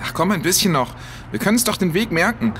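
A man's voice: high voice, lively intonation, quick pace, a bit bright, slight background noise. A male performer playing an impatient, desperate younger boy in a children's audio drama.

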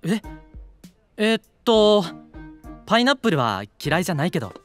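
Drama CD: gentle teenage boy, polite, hesitant, lively intonation, faint music behind. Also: high voice, normal speed. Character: gentle, timid, polite male high school student. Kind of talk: audio drama acting.

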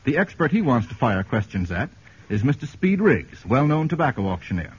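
A man hosts a 1940s radio quiz show in a medium-pitched voice. The sound is very rough and husky, carried on a dull, scratchy vintage broadcast. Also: very animated, a little fast.

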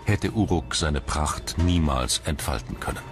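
A man reading scripted documentary narration in a measured, formal manner. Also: very deep voice, expressive.

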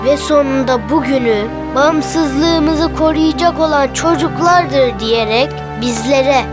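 A woman voicing an earnest, excitable young schoolboy hero in a children's cartoon, speaking with clear, storytelling delivery. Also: sits high, slow. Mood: earnest, proud. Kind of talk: children's cartoon dialogue and narration. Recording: music bed behind the voice.

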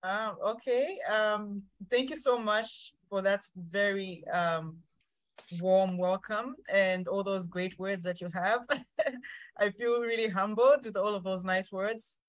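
A woman's voice: mid-range voice, fairly even intonation, slow, no echo, rough recording. A woman in her thirties with a Zambian accent, answering interview questions in a hesitant, thoughtful way over a video call. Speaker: interview guest, urban planner.

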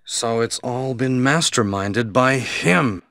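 An actor playing an apologetic, earnest police comrade in a video game, with stilted delivery. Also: male voice, medium pitch, slow pace, vocal fry, a bit bright, no echo, studio quality. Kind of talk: video game cutscene acting.

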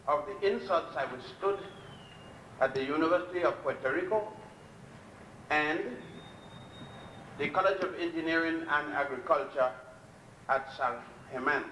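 A man's voice: a little high, pushed and bright, recorded from a distance, slight background noise. Blunt older man lecturing in an echoey hall. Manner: blunt, defiant, storytelling pace with long pauses. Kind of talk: public lecture. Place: lecture hall at a podium before a chalkboard. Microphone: podium mic on a stand, filmed from a distance. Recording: echoey hall, recorded from afar.

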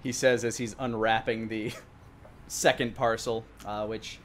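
A man narrating a tabletop roleplaying game as game master, improvising descriptions in a halting, detailed way. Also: lowish voice, level tone, a bit bright. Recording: clearly audible background noise.

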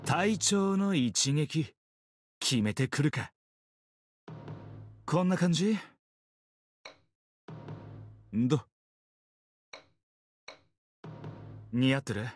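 Drawling, easygoing young swordsman, lively pitch. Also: takes it slowly, faint music behind. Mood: cool, confident. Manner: drawling, casual, playful delivery. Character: easygoing, teasing young swordsman, laid-back surfer temper. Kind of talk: Japanese mobile game character voice lines.

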